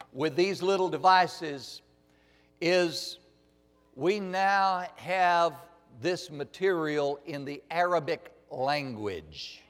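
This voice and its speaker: A man speaks slowly and earnestly from the front of a church, his voice sitting a little high for a man. Long reverb from the large hall trails after each phrase. He often repeats words as he finds his way. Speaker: missionary ministry speaker. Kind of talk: church announcement address.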